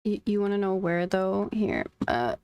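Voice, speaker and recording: A woman's voice: medium pitch, lively intonation, moderate pace, darkish tone, clean tone, no room sound, highs cut off. Casual woman streamer, dry close sound. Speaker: game streamer. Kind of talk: casual livestream commentary.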